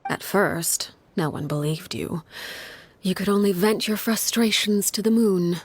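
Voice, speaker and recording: A woman voicing a stern, solemn leader in a video game, speaking in a grave, measured, hushed way. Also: mid-range voice, slightly rough, no room sound. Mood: solemn, subdued. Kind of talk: dramatic video game acting.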